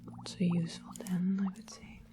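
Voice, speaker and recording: A woman's voice: on the low side, little pitch movement, normal speed, natural voice. Woman playing a whispering, sweetly sly royal witch. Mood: musing, coaxing. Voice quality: soft breathy whisper. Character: sweetly sly royal witch trading rare magical items. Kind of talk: ASMR fantasy roleplay. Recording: clearly noisy close whispered recording.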